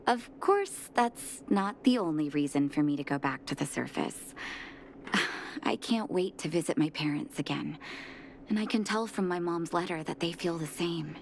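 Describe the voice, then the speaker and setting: A composed, gentle woman doctor from a video game. She speaks in a measured, polite way at a low pitch, over a faint hiss. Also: animated, gravelly. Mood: calm. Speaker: game character.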